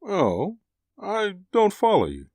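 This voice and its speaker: A smug, polished star actor. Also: male voice, sits high, wildly swooping pitch, takes it slowly, darkish tone, no room sound, studio quality, full character voice. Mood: amused. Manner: polished, clipped, stammering when cornered. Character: smug, successful middle-aged male star actor. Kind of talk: 1940s radio acting.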